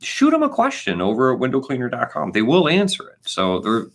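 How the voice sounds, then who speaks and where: A man in his thirties co-hosting a casual live question-and-answer chat, talking in an animated, emphatic, informal way. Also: mid-range voice, brisk. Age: 30s. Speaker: co-host of a trade channel.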